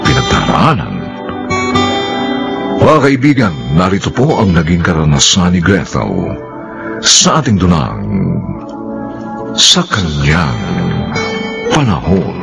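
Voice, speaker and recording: A man's voice: deep voice, huge pitch swings, slow, gravelly, pauses often. A man narrating a radio drama as announcer, in a solemn, formal and dramatic delivery. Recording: loud music bed underneath.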